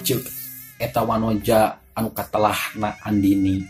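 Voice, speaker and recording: A woman's voice: extremely low pitch, flat delivery, a little slow, darkish tone, faint music behind. A woman with a Sundanese accent narrating a folk tale in a dramatic storytelling style.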